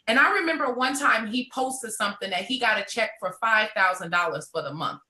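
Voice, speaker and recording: This is a woman around thirty telling her entrepreneur story with animated, motivational drive. Her voice sits a little high and rings bright and forceful. The sound carries a roomy echo. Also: brisk. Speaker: network marketing entrepreneur. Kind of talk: motivational live conversation.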